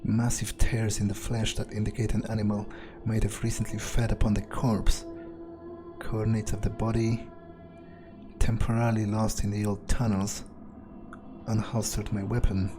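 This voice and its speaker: A man narrating a video game playthrough in character, thinking aloud in a musing, halting way. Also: fairly low pitch, level tone, over music.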